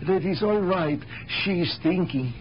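Courteous shopkeeper, muffled radio drama. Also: male voice, high-pitched, animated, a little slow, slight background noise. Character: courteous male shopkeeper serving customers.

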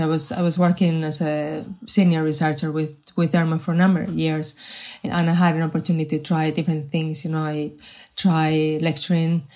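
A woman from Spain in her forties, a Spanish accent, speaking in a lowish voice for her sex. Her delivery is measured and hesitant, with frequent restarts. The tone stays flat and level. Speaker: guest, health research manager. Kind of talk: podcast interview.

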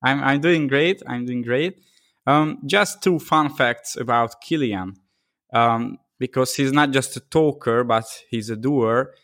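Man, podcast host, halting delivery, mid pitch, dry recording. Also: a bit bright, no room sound. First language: Hungarian. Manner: halting, many fillers and restarts. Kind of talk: casual interview chat.

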